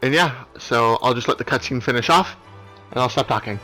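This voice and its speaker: Bright-voiced man doing hesitant game commentary. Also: mid-range voice, brisk. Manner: hesitant, apologetic, rambling. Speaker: let's play host. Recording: game music behind the voice. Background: video game cutscene music.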